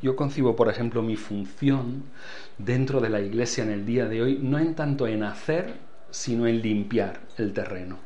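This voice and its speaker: A man's voice: medium pitch, moderate pace, mellow. Older man, foreign accent, church leader, earnest interview talk, repeats phrases, faint hiss behind. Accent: foreign accent in Spanish. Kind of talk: interview answers.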